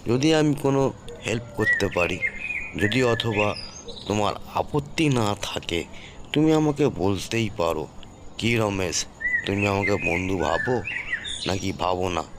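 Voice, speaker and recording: A man's voice: lowish voice, lively intonation, moderate pace, pauses often, close to an everyday voice. A man voicing a helpful, reassuring elder-brother figure who gives advice in a cartoon ghost story. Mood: concerned. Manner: reassuring, advising. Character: Poltu-da, helpful, reassuring elder-brother village problem solver. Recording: clear background noise, birds and crickets.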